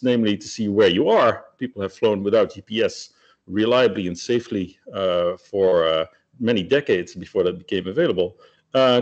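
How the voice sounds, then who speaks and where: Guy giving a webinar, lowish voice, lots of uhs and ums, muffled call audio. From Netherlands. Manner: measured, many hesitations and fillers. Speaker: company founder giving a technical webinar. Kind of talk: technical presentation. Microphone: video call.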